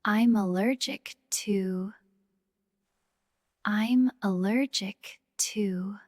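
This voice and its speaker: A woman teaching English, repeating each short sentence several times in a slow drill, often dropping to a whisper. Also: medium pitch, darkish tone, no echo, studio quality.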